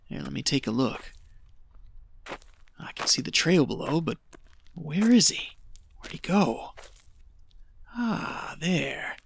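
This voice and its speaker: A man's voice: fairly high pitch, big pitch swings, slow, vocal fry, long pauses, slight background noise, exaggerated voice. A man playing a stubborn, gold-hungry desert prospector with a folksy way of talking in an audio drama. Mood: wary, scheming. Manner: folksy, muttering to himself when alone.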